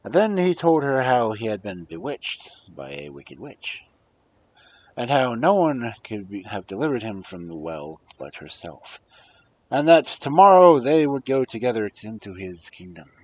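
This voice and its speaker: A man reading fairy tales aloud as a storyteller, with a husky voice and a dramatic delivery that moves between hushed and emphatic. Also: medium pitch, sing-song, measured pace, no echo, poor audio. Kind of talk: expressive fairy-tale narration, read aloud.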